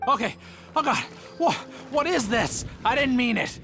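A man's voice: very high voice, big pitch swings, normal speed, creaky, exaggerated voice. A man playing a boastful, theatrical gang thief in a video game, cocky but cowardly under threat. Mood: panicked. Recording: music behind the voice.

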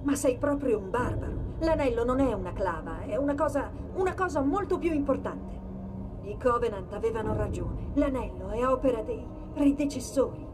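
Actress for sci-fi game AI: female, high-pitched, brilliant, sharp-tongued, emphatic and urgent. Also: lively intonation, normal speed, background noise, close to an everyday voice. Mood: scornful, excited. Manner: dramatic, emphatic. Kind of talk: dubbed sci-fi video game acting.

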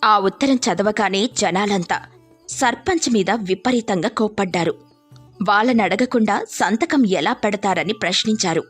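A woman's voice: medium pitch, normal speed, husky. Woman narrating a moral story, music behind.

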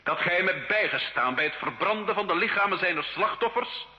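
A man's voice: sits high, expressive, fairly quick. An actor in an old radio drama playing a stern, solemn church inquisitor, formally declaiming his accusations. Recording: hall-like echo, dull old radio recording.

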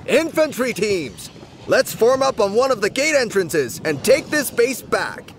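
An actor playing a zealous infantry captain in a video game, forcefully barking orders. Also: male voice, high voice, sing-song, measured pace, a little hiss or hum, full character voice. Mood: zealous, commanding.

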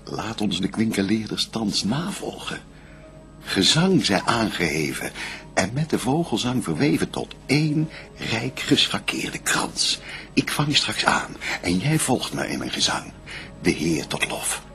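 Devout first man and adoring bridegroom, low gravelly voice, lyrical sweeping declamation, solemn verse radio play. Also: sing-song, a little slow, sharp tone, soft background music, full character voice. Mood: reverent.

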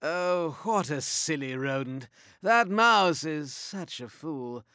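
A man's voice: a little high, expressive, takes it slowly, sharp tone, no room sound, studio quality, heavily acted. A man playing a smug, sly panther cat burglar, gloating and theatrical, in a rhyming cartoon. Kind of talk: rhyming animated story dialogue.

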